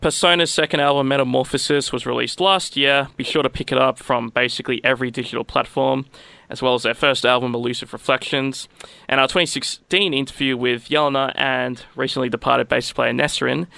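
A man's voice: medium pitch, steady tone, brisk, creaky, sharp tone, dry recording. A man hosting an interview, asking direct questions and reading the show's promos. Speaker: interview host. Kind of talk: interview questions and show outro.

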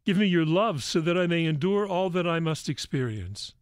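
A man's voice: a little high, expressive, moderate pace, clean tone, no room sound, studio quality, pitched above the performer's own voice, natural voice. A man voicing a frightened young Jesus in a religious story, earnestly pleading with his father. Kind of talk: dramatic religious story reading.

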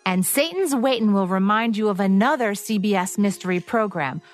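Woman, American accent, podcast co-host, scripted narration, middle pitch, light music behind. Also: expressive, normal speed.

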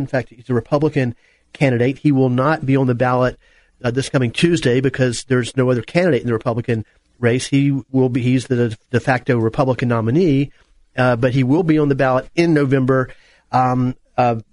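A man hosting a radio talk show in a conversational, somewhat halting way, often rephrasing. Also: medium pitch, darkish tone, dry recording.

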